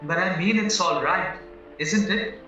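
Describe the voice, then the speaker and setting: A man's voice: high voice, measured pace, bright, forceful tone. A man acting in an audio play as a naive, anxious young husband accused of murder, earnest and rambling as he protests. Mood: anxious, pleading. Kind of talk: stage-style audio drama acting. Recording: very echoey, muffled, distant, music underneath.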